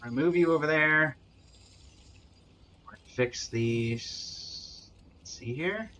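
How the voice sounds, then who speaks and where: A man's voice: on the high side, very slow, pushed and bright, slight background noise. A man giving casual live game stream commentary, often muttering to himself as he goes. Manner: muttering to himself while troubleshooting. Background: game music.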